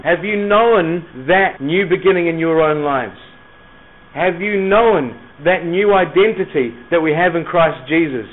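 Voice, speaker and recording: High-pitched Scottish preacher, phone-like sound. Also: male voice. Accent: Scottish, softened by New Zealand. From Scotland, moved to New Zealand as a schoolboy. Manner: rhetorical questions, dramatic pauses and emphatic repetition. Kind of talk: evangelistic sermon to young people.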